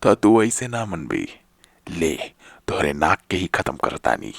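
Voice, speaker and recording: A man playing a wicked, arrogant sorcerer in an animated fairy tale, with gravelly, gloating, mocking speech. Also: low-pitched, dry recording, studio quality, exaggerated voice. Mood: menacing. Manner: gloating, drawn-out taunts with villainous laughter.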